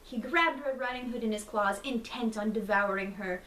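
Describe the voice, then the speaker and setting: A woman's voice: on the high side, moderate pace, a bit bright. A woman reading a bedtime story theatrically, with a mock-solemn, dramatic delivery. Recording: roomy, distant mic, faint noise.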